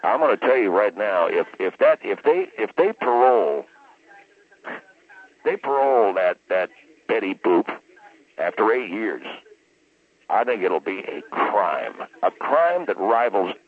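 Guy putting on deep husky blowhard caller on a tinny phone, opinionated, repeats himself for emphasis. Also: sing-song, unhurried, long pauses, full character voice. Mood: indignant. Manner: emphatic, repetitive, blustering. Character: blustering, opinionated male radio caller, folksy patriot. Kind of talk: comic radio call-in rant. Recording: muffled, tinny phone line.

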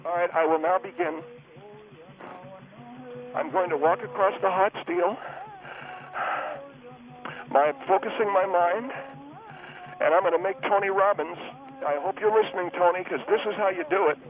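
A man voicing an excitable, touchy, self-justifying husband in a comic radio act, over a tinny phone line. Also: high voice, moderate pace, creaky, pauses often, soft background music. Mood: pompous, flustered. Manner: indignant, blustering, self-justifying. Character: excitable, touchy husband attempting a hot-steel walk.

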